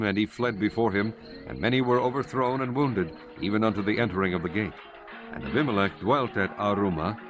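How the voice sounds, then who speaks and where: A man narrating a dramatized Bible audiobook in measured, solemn storytelling with archaic diction. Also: on the low side. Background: prominent music.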